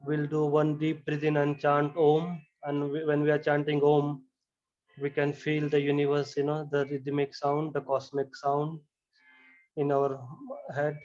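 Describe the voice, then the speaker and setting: Monotone man guiding a yoga relaxation. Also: fairly high pitch, dull audio. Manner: slow, repetitive calm instructions with frequent "you know". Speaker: yoga instructor. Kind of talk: guided relaxation and chanting.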